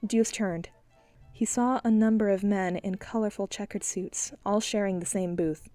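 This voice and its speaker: A woman narrating a noir audiobook in a steady, clear voice of middle pitch. The sound is dry and close, with faint music underneath. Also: normal speed, no room sound. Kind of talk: scripted narration.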